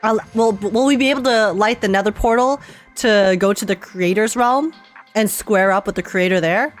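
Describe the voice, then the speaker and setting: A woman reacting casually to a game on a livestream, with an excitable, giggly and playful manner. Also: medium pitch, moderate pace, faint music behind. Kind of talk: casual live gaming reaction.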